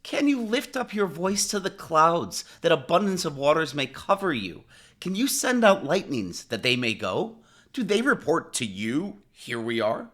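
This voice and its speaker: Bright, forceful man reading scripture dramatically. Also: on the high side, very animated, unhurried. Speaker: audiobook narrator of scripture. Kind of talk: dramatic scripted Bible narration.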